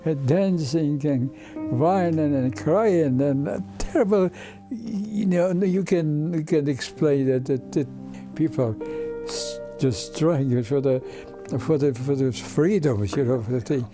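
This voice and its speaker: A man in his nineties with a Ukrainian accent, recalling his past in halting, repetitive broken English. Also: fairly high pitch. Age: over 90. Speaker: interviewee, Holocaust survivor. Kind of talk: personal recollection. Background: instrumental music.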